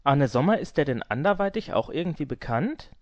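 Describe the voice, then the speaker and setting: A man's voice: on the high side, big pitch swings, a little fast, darkish tone, no room sound. A man hosting an interview, asking prepared questions. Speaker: podcast host and interviewer. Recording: dry, slightly dull lo-fi sound.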